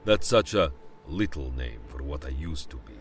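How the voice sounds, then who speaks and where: A man's voice: extremely low pitch, very animated, moderate pace, heavily acted. A man playing a huge ancient bear in a fantasy audio drama, taunting and condescending in a theatrical way. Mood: condescending, menacing. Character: giant ancient predatory bear, male, condescending menace. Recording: loud dramatic music behind.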